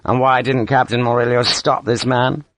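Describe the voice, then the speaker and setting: A man voicing a gruff, scarred soldier in an audiobook story, curt, blunt and scornful, with a growl. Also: mid-range voice, little pitch movement, normal speed, fairly bright tone, no room sound, natural voice. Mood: scornful, impatient. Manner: curt, blunt, impatient, swearing.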